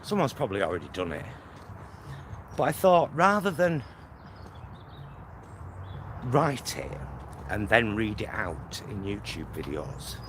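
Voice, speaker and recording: A man's voice: fairly low pitch, sing-song, unhurried, pauses often. A man chatting casually as he walks, vlogging and thinking aloud in short, rambling phrases. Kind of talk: casual chat while walking outdoors. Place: outdoors on a walk near motorway and lake. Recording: outdoor noise, traffic and ambience.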